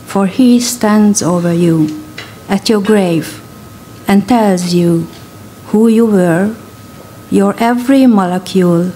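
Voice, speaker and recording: Slow Hungarian-accented woman reader. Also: medium pitch, dark tone, a little hiss or hum, low-quality recording. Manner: hesitant, with many ums in her introduction; measured, deliberate poetry reading. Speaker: guest reader. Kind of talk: literary reading at a public event. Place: event hall.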